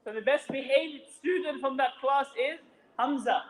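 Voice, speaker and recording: A man announcing prizes to children at a mosque ceremony, calling out names loudly and projecting his voice over the room. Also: extremely high pitch, normal speed. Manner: loud, projecting over a crowd. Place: mosque hall. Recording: echoey hall.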